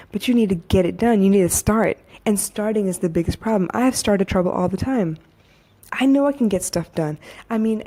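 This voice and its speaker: Soothing, mellow-voiced woman coach rant. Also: fairly low pitch. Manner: blunt, frank and swears casually. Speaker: life coach and podcast host. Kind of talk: casual motivational monologue.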